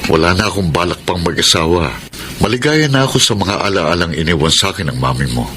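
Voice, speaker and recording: A wealthy middle-aged widower, kindly and pensive. His deep voice moves expressively up and down, as in Filipino radio drama, over clearly audible background noise. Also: expressive, fast talker, sharp tone.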